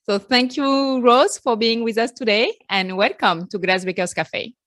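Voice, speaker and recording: A woman's voice: fairly high pitch, a little slow, a bit bright, no room sound, studio quality. A woman hosting a podcast, reading an upbeat scripted introduction and asking interview questions. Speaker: podcast host.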